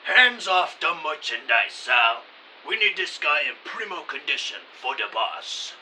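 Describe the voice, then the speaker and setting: A bossy middle-aged gangster, played by a man with a high-pitched, very sharp voice. He issues menacing orders in mobster slang, in a comic audio drama recorded slightly off mic with faint hiss. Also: big pitch swings, a little slow, exaggerated voice. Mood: bossy, annoyed. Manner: old-time mobster slang, threatening orders. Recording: slightly distant, hissy home recording.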